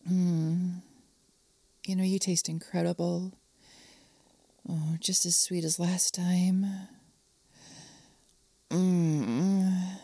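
Slow, breathy, intimate woman lover, acted by a woman. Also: lowish voice, flat delivery, mellow, no echo, full character voice. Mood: affectionate, playful. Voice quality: breathy, often dropping into whispers. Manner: slow, lingering, tender delivery with pet names. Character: affectionate, flirtatious woman lover in an intimate reunion. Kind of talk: intimate adult audio roleplay addressed to the listener.

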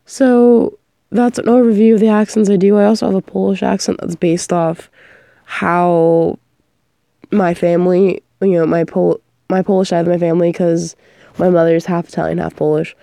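A young woman audio performer chats in a loose, rambling way, drifting off her train of thought. She is sick, and her voice is mellow with a gravelly fry. The sound is close and completely dry. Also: on the low side, little pitch movement, normal speed, no echo. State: sick, coughing. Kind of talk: casual rambling chat.

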